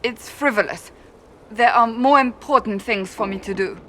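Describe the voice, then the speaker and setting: A woman acting as a proud woman warrior in a fantasy game, with a fairly high voice. She speaks in a clipped, defensive way, easily flustered yet earnest. There is faint noise behind her. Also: moderate pace, fairly bright tone, close to an everyday voice. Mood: flustered, indignant. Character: stern proud woman warrior, flustered secret romance reader.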